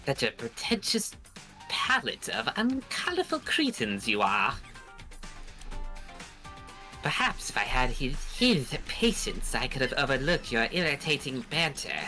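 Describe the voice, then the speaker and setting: A woman's voice: very deep voice, very animated, a little slow, pushed and bright, soft background music, exaggerated voice. A woman voicing a cruel, pompous villain creature in a game, mocking and theatrical, drawing out each taunt. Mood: scornful. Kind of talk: hobby voice acting of a visual novel game.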